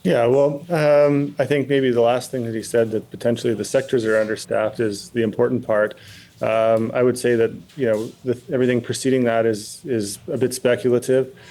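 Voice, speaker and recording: A man answering in a news interview as a pilots' representative, speaking in a careful, measured, halting and diplomatic way. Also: mid-range voice, fairly even intonation, warm tone. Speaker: pilot and pilots' association president, interview guest.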